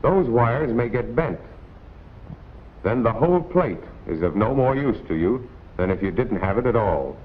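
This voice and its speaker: A man's voice: medium pitch, lively intonation, unhurried. An American man narrating a 1940s instructional film in a plain-spoken, colloquial, admonishing way. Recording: tinny, noisy 1940s film soundtrack.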